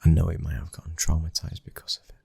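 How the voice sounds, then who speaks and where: Very deep, husky British mafia lover played by a man. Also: completely flat delivery, fast talker, mellow, dry recording, exaggerated voice. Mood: firm, protective. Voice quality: husky, breathy, often near whisper. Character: brooding young mafia boss's son, protective, obsessive lover. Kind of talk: intimate ASMR boyfriend roleplay.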